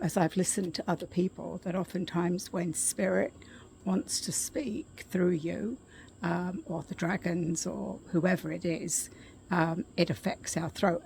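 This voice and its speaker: A middle-aged woman talks softly into her phone camera, her voice scratchy and a bit gravelly, sitting slightly low. She clears her throat often and fills pauses with ums, unhurried and chatty. Faint outdoor ambience sits under her. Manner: soft-spoken, frequent throat clearing and ums. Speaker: vlogger. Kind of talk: casual spoken update. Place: outdoors at her condo, quiet yard with birds.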